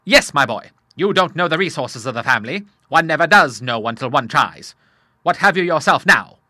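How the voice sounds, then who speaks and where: Man voices a hearty retired admiral in his sixties, loud and cheerful, dry close read. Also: a little high, animated, normal speed, no echo. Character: jovial old retired male naval admiral.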